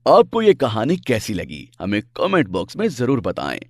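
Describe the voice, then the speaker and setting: Male narrator for children's moral tales, expressive swings in pitch, scripted storytelling. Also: moderate pace, dry recording, clean studio sound.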